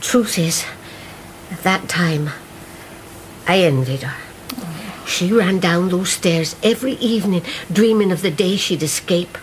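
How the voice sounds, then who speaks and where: A woman's voice: fairly low pitch, unhurried, pauses often, a little hiss or hum, natural voice, rough recording. A woman playing an old mill-worker reminiscing. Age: older. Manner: reminiscing, drifting into song. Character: elderly woman, former mill worker, wistful and bitter. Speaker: Missus O'Hare. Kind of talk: radio drama acting.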